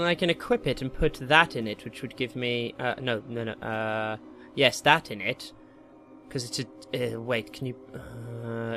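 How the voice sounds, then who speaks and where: A man giving casual, excitable commentary while playing a video game, jokey and slangy, with exclamations and swearing. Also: mid-range voice. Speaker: gaming video host. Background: game music.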